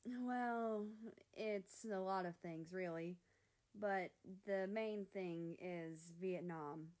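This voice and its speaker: A woman's voice: on the low side, very slow, darkish tone. A woman voicing an anxious, distracted young male character in a story, hesitant and sheepish. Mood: distracted, reluctant.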